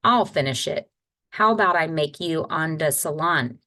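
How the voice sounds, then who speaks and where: A woman's voice: low voice, animated, moderate pace, bright, forceful tone. A woman reading as a spirited, wistful grandfather. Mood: brisk, caring. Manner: wistful, exclaiming, fond. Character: spirited, playful grandfather in his sixties, devout and wistful. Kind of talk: children's audiobook character voice.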